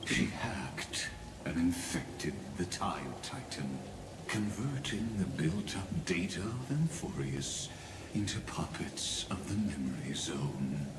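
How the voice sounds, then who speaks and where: A cold courtly game villain, deep voice, slow deliberate pace, formal, unmoved, echoey noisy audio. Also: male voice, sing-song, vocal fry, heavily acted. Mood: calm disdain. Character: cold, courtly genius man devoted to destruction.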